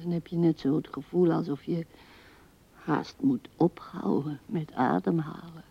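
An actress playing an old widow who muses tenderly and haltingly to her dead husband in a radio play, often sinking to a whisper. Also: low-pitched, fairly even intonation, creaky, breathy edge, exaggerated voice. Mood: weary, hurt. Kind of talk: intimate radio play monologue. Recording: dull, dry vintage radio recording.

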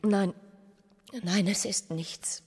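An actress playing an unhappy, yearning young provincial wife in a German radio drama, brooding and often hushed. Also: female voice, mid-range voice, fairly even intonation, drawn-out words, breathy edge, no room sound, studio quality, full character voice. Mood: faint, despairing.

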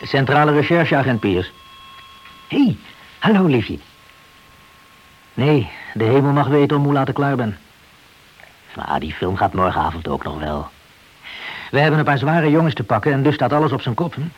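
An easygoing, obliging junior policeman in an old radio play. His mid-pitched voice is very animated, and the recording is dull. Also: normal speed, pauses often, breathy edge. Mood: affectionate, hurried. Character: eager, easygoing junior male police officer. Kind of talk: radio drama acting.

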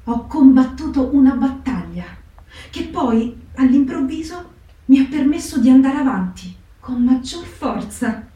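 A woman playing a humble, reflective young diarist who has known despair, in a theatre reading with deliberate pauses. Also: on the high side, dark tone. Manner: deliberate, pausing phrasing. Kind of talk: slow theatrical diary reading. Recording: echoey stage, slightly distant microphone.

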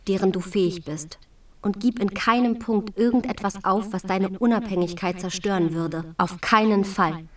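A woman's voice: mid-range voice, recorded from a distance, natural voice. An actress playing a proud, resolute early 19th-century queen reading her letters to her husband in a formal, imploring way. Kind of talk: dramatized letter reading in a radio play.

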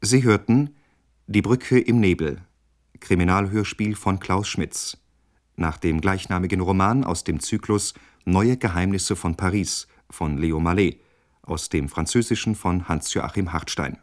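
A man formally reading the credits of a radio drama as announcer, in a measured way. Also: low voice.

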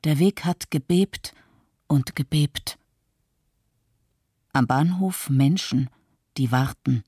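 An actor voicing a stunned earthquake witness in a poetic radio monologue, in clipped short phrases with pauses. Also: medium pitch, mellow, slightly rough, no echo, studio quality. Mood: stunned, bleak.

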